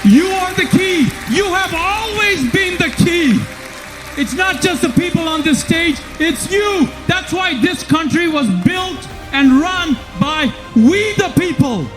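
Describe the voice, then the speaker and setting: Very high, shouting rally speaker over music. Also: lively intonation, slow pace, poor audio. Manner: shouted, emphatic, rousing oratory. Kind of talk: rally speech.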